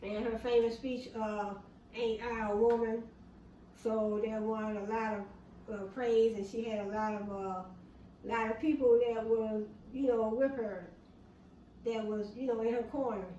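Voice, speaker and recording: A woman reading history notes aloud, halting with many fillers, rereading passages and then restating them in plain words. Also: a little high, fairly even intonation, dark tone, recorded from a distance, muffled recording. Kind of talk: casual reading and explaining aloud. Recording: echoey room, voice off-mic, faint background hum.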